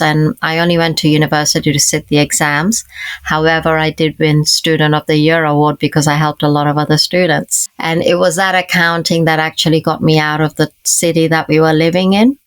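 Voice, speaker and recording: Interview guest: woman in her fifties, low steady voice, smooth and close, earnest storyteller. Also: fairly quick, dry recording. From Kenya, raised in the UK. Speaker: guest, leadership coach.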